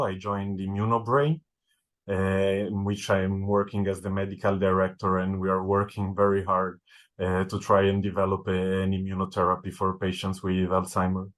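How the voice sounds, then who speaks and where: A man's voice: sits low, level tone, moderate pace. A man from Italy with an Italian accent, speaking as a neurologist guest in an interview, thoughtful and often repeating words as he searches for them. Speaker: medical director, neurologist.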